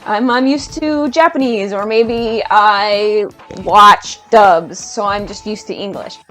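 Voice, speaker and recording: A woman's voice: mid-range voice, slow pace, fairly bright tone, soft background music. A woman giving a casual, enthusiastic video-essay rant as a YouTuber, chatty and hesitant, often restarting her sentences.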